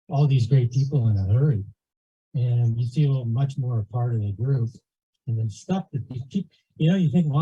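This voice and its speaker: A dull, lo-fi recording of a man with a very dark voice. He gives informal, encouraging remarks at a meeting in a husky tone. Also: mid-range voice. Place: community meeting, captured on a video call. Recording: dull, lo-fi meeting audio over video call.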